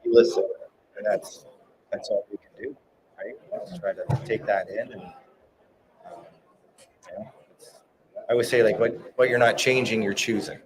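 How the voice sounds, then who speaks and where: A man's voice: on the low side, fairly even intonation, moderate pace, long pauses, low-quality recording. A man in casual podcast chat, encouraging and reflective, often checking in with "right?". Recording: crowd noise at live event.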